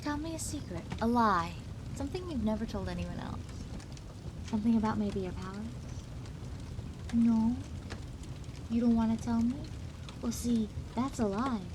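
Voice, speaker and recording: Female supervillain played by a woman, ASMR roleplay: higher voice, taunting, theatrical, giggly and unhinged; somewhat noisy recording. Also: moderate pace, pauses often, lightly acted. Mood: smug, teasing. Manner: theatrical, sing-song teasing with frequent giggling.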